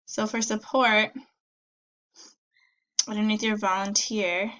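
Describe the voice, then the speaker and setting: A woman's voice: mid-range voice, level tone, takes it slowly, fairly bright tone, muffled recording. A young woman giving peer feedback in a casual, upbeat way, full of likes and ums, a little nasal from a cold. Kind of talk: casual spoken review.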